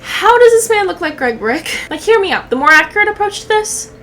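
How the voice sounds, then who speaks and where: A woman in her twenties giving casual comedic reaction commentary as a channel host, sarcastic, profane and expressive. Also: very high-pitched, fairly quick, slight background noise.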